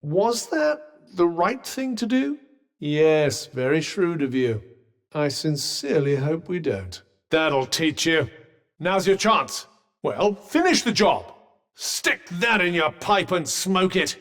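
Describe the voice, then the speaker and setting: A man's voice: a little high, very animated, slow, fairly bright tone, heavily acted. A man with a British accent voicing a haughty, sardonic magical cuff companion in a video game, posh and understated in his dry, condescending delivery. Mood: urgent. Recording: voice with long reverb.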